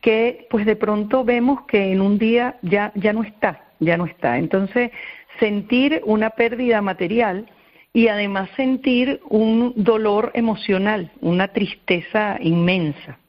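A woman's voice: medium pitch, unhurried. A woman from Venezuela with a Venezuelan accent, answering earnestly as a radio interview guest and explaining things with repeated phrases for emphasis. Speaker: interview guest, volunteer psychologist. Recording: dull, band-limited radio call.

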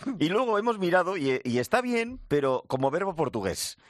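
A man's voice: high voice, sing-song, fairly quick, no room sound, clean studio sound. A man co-hosting a radio show, reading a playful language segment with wry humor. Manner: wry, playful, reads jokes with relish. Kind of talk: scripted comic radio segment. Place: radio studio.

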